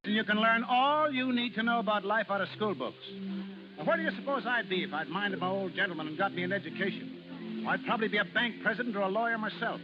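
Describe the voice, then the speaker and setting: An actor playing a brash, streetwise, uneducated carnival barker in a 1930s radio drama. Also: male voice, high voice, fast talker, noisy background, natural voice. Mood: gruff advice. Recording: muffled 1930s radio with music behind.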